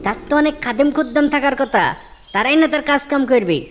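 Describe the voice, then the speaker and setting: A woman playing an eager, doubting young traveler in a moral cartoon, in an excitable, questioning manner. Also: high voice, fast, a little hiss or hum, close to an everyday voice. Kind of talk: Islamic moral cartoon dialogue.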